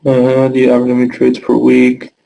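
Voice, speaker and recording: A man's voice: medium pitch, monotone, fairly quick, gravelly, mellow, slight background noise, poor audio. A young man from Canada with a Canadian accent hosting a live trading webinar, reading out viewer questions by name and answering them casually with filler words.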